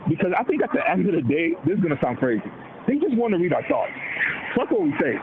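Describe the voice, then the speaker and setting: A man of Dominican background riffs on politics in loose, slangy talk and keeps slipping into quoted voices. His voice sits a little high for a man. It comes through a narrow, tinny phone-like line with steady noise behind it. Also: quick pace. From Dominican heritage. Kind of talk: casual political chat.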